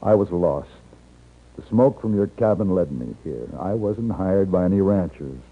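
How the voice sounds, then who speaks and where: An American actor playing a calm, plain-spoken captured gunfighter in a 1950s radio western, narrating and talking in a measured way. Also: male voice, low voice, slightly rough. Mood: earnest. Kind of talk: 1950s radio western acting, first-person narration and dialogue. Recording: muffled 1950s radio recording, music behind.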